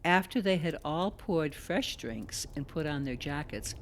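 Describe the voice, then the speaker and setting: A man's voice: a little high, lively intonation, unhurried, fairly bright tone. A man acting as the lyrical narrator of a wistful island tale in a radio play. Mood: lyrical, calm. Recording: clear background noise.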